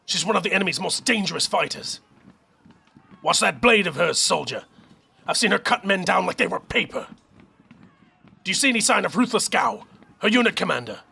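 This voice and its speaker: A man playing a commanding, hot-blooded fortress captain in an audio drama, with a gravelly, raspy voice and forceful delivery. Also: high voice, full character voice. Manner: forceful, barking orders.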